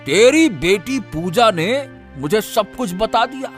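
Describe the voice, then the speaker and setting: A man's voice: high voice, animated, slow, faint music behind. A man playing a bullying, scheming village orchard owner in a cartoon moral story, blustering and repeating his commands. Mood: furious, threatening.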